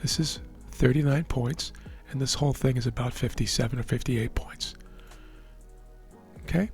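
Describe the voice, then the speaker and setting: A man with a hoarse, worn voice calmly explaining the markets as a trading educator, often checking in with the listener. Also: mid-range voice, normal speed, soft background music. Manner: relaxed, explanatory, checks in with "right?". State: hoarse, losing his voice. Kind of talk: live market commentary over a chart screen.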